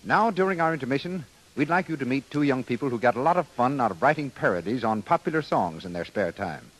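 A man's voice: medium pitch, expressive, quick pace, fairly bright tone, poor audio. An American man announcing from a script in polished 1930s radio style.

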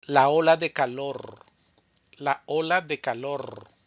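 A man teaching Spanish vocabulary in a scripted drill, speaking slowly and deliberately and saying each answer twice. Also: mid-range voice, no echo, muffled recording.

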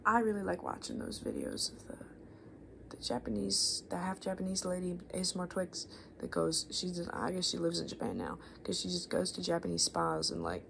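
A woman in her twenties doing soft-spoken, rambling ASMR, unscripted and self-deprecating, sometimes slipping towards a whisper. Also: on the low side, lively intonation, brisk, vocal fry. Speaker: ASMR video creator. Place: small living room at home, indoors. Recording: faint room noise on home recording.

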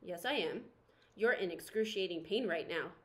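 A woman's voice: lowish voice, animated, normal speed, voice pushed down, natural voice. A woman voicing a menacing clothespin toy shark from a storybook, terse and threatening.

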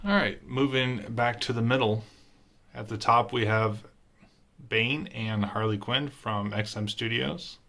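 A man's voice: medium pitch, fairly even intonation, a little slow, gravelly, pushed and bright. A man giving a casual voice-over tour of his statue collection room, plain and matter-of-fact with frequent filler words.

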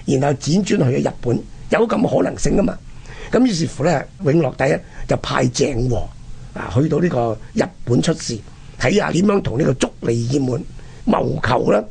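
A man with a Hong Kong Cantonese accent and a husky, gravelly voice, telling history conversationally and explaining it to the listener. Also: mid-range voice, animated, moderate pace, darkish tone. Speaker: co-host of a history programme.

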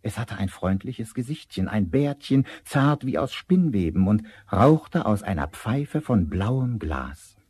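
A man narrating a vintage fairy-tale radio play as an expressive, measured storyteller. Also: on the low side, breathy edge, dry recording, heavily acted. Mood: gentle, descriptive.

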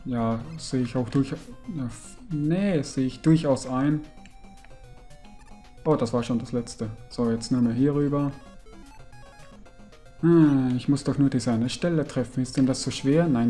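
Austrian-dialect man, mellow gaming commentary. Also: medium pitch, measured pace, faint music behind. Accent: Austrian, Carinthian and Viennese dialect mix. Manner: relaxed, grumbles and mutters at the game. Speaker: let's player.

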